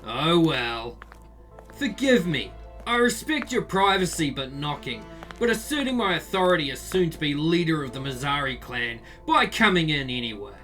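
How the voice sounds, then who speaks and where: A man's voice: a little high, very animated, moderate pace, fairly bright tone. Cocky, sadistic teenage boy, swooping delivery. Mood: smug, mocking. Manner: taunting, theatrical, mocking. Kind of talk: visual novel voice acting. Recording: music behind the voice. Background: music.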